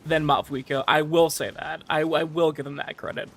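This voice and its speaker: A man streaming a video game, chatting casually and excitably in slangy, informal language over game music. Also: fairly high pitch, moderate pace, background noise. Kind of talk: casual livestream commentary and chat.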